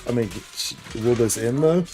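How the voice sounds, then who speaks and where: A man's voice: mid-range voice, normal speed, warm tone, faint music behind. A man streaming a game with casual, slangy live commentary, often repeating his own phrases.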